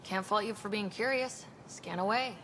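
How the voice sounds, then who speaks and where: An actress playing a confident young team leader in a video game, wry and direct. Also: female voice, mid-range voice, moderate pace, a bit bright, a little hiss or hum, lightly acted, poor audio.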